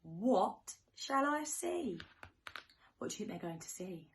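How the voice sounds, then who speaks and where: A woman teacher reading a picture book to young children in an expressive sing-song way, with questions, gasps and hushed asides. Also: medium pitch, measured pace, a little hiss or hum. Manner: animated, questioning, dramatic gasps and hushed near-whispers.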